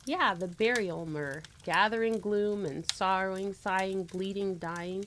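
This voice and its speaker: A woman's voice: mid-range voice, unhurried, fairly bright tone, slight background noise, natural voice. A woman playing an informative mother in a family audio drama, explaining things in a teacherly, conversational way. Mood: explanatory.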